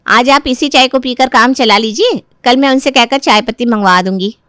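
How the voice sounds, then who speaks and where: Woman acting young bahu in a family story, high voice, argues back, crafty, dry recording. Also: normal speed, fairly bright tone, smooth, no room sound, lightly acted. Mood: feigned innocence. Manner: argumentative, protesting. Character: defiant young daughter-in-law, complaining and crafty. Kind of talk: dramatized Hindi moral story.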